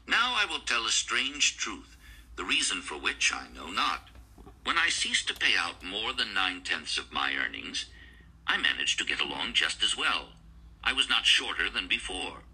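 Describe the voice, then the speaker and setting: Male audiobook narrator: low voice with a very sharp, forceful edge, declamatory storytelling delivery. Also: breathy edge, low-quality recording. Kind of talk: scripted audiobook narration in archaic storybook English.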